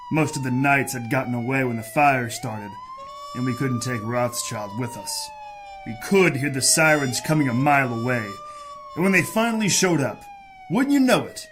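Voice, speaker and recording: An actor playing a world-weary, wisecracking private detective in a hardboiled noir audio drama, dry and sardonic. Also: male voice, fairly high pitch, fairly bright tone, a little hiss or hum. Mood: wry.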